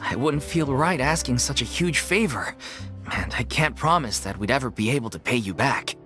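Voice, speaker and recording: An actor playing an earnest, worried leader of an amateur theater troupe in a video game. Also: male voice, mid-range voice, expressive, moderate pace, bright, forceful tone, faint music behind.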